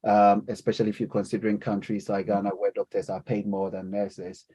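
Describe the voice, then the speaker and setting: A man's voice: lowish voice, completely flat delivery, brisk, dark tone, slightly rough. Hesitant man leading a class. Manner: hesitant, many fillers and restarts. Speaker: lecturer. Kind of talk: live class discussion.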